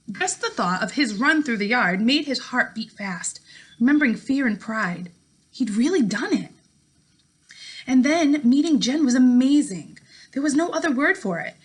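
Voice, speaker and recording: A woman teacher reading a story aloud to her class in an expressive way, with casual remarks. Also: a little high, slightly rough.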